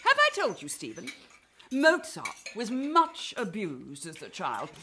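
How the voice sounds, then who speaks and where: An actress playing a haughty, sharp-tongued lady of a 19th-century house in a radio play, with pompous, precise diction. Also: medium pitch, wildly swooping pitch, a little slow, a little hiss or hum, exaggerated voice. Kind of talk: period radio drama acting.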